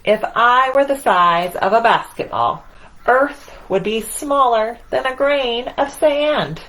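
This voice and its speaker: A woman's voice: a little high, slow pace, fairly bright tone, low-quality recording. A woman voicing a cocky, self-important Sun in a children's picture-book read-aloud, bragging with theatrical emphasis. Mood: smug, showy.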